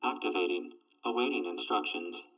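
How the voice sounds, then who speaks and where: A man voicing an obedient, emotionless robot with flat, clipped reports and robotic processing in a sci-fi audio drama. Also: low voice, normal speed, recorded from a distance, rough recording. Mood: impassive.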